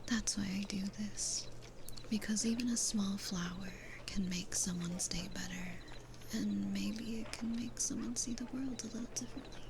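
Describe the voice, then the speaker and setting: A woman voicing a sweet, gentle demon florist in an ASMR roleplay, speaking in a soothing, breathy whisper with unhurried pauses. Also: medium pitch, fairly even intonation, background noise, close to an everyday voice. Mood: gentle, reassuring.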